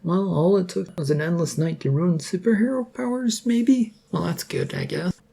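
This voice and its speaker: A man's voice: high-pitched, wildly swooping pitch, normal speed, gravelly, dark tone, husky, pitched above the performer's own voice, heavily acted. A man voicing a nervous, self-doubting would-be hero in an audio story, hesitant and stammering. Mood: hesitant, doubtful. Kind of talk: audio story character dialogue.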